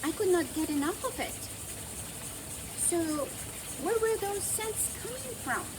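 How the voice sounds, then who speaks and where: A woman's voice: very high-pitched, expressive, unhurried, noisy background, pitched above the performer's own voice. A woman voicing an eager, curious, affectionate little farm girl in a children's storybook, with a lilting, wondering manner.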